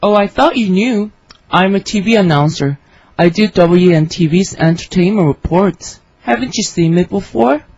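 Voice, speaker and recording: Woman, scripted conversation reading, clear careful delivery, dry dull studio sound. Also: on the low side, normal speed, a little mellow, dry recording. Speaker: dialogue reader for English learners.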